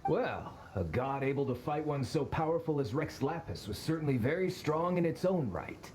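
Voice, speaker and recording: A greedy, brash treasure seeker from a video game, voiced by a man, speaking in a fairly high, lively voice that mocks and needles. Outdoor ambience sits faintly behind him. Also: moderate pace, a little hiss or hum, natural voice. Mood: scheming. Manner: brash and mocking.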